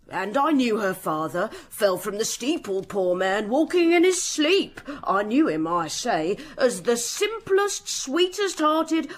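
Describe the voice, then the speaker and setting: An actress playing a kind-hearted but firm middle-aged shopkeeper's wife, speaking emphatically in a radio drama. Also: female voice, a little high. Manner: emphatic, indignant, sentimental.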